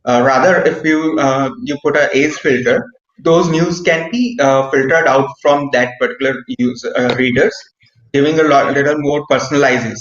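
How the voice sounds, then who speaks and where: A man's voice: medium pitch, fairly even intonation, moderate pace, a bit bright. Man on a roomy, dull video call. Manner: hesitant, many "uh" fillers, asks "right?" often. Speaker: meeting participant giving feedback. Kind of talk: online meeting feedback.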